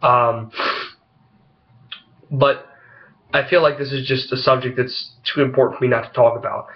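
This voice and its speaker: A congested man with a head cold talks into the camera. His voice is slightly froggy, and his delivery halts and rambles with plenty of "uh"s and "you know"s. His pitch sits in the middle of the male range, and the recording sounds a little muffled. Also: steady tone. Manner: halting, rambling, heavy on fillers. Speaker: vlogger. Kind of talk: casual opinion vlog talking to camera.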